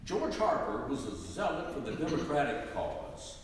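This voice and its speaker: A man narrating history from a script in a live dramatic reading, deliberate and theatrically emphatic. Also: fairly high pitch, sing-song. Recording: echoey hall, distant mic, faint noise.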